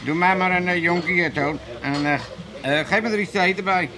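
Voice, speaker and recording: A man's voice: sits high, moderate pace, crowd noise, close to an everyday voice. An older man with an Amsterdam accent playing a cantankerous, nosy, teasing old father in a radio comedy, blustering and repeating his words. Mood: grumbling, demanding. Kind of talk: 1980s radio comedy acting.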